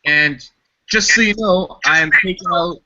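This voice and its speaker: A man with a Canadian accent, a guest filmmaker chatting casually, relaxed and hesitant with fillers. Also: high voice, normal speed. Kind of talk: casual chat. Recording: lo-fi call audio with clear noise.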